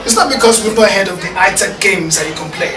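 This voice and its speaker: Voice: medium pitch, fast talker. A host leading an informal discussion with an audience, prompting them and repeating phrases for emphasis. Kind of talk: informal interactive talk to an audience. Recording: echoey room, distant mic, background music.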